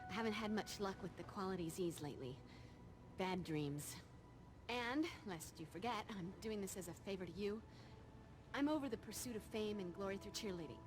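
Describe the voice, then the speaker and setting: A woman's voice: mid-range voice, a little fast, background noise, close to an everyday voice. A woman playing a brave, quippy young vampire slayer with an American accent in a video game, wry and breezy. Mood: weary, wry. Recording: loud music over the voice.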